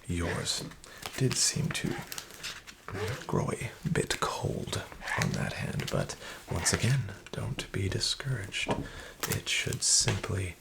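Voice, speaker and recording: A man playing a smooth-talking, falsely friendly casino dealer, soft-spoken and half-whispered. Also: sits low, exaggerated voice. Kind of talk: soft-spoken ASMR roleplay. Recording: audible background noise with card shuffling.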